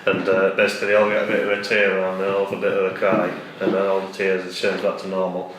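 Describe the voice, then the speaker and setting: A man's voice: low-pitched, monotone, fast, a bit bright. Echoey, distant man chatting. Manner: blunt, opinionated, sweary. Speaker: co-host. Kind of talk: casual chat about films. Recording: echoey room, distant mic, faint noise.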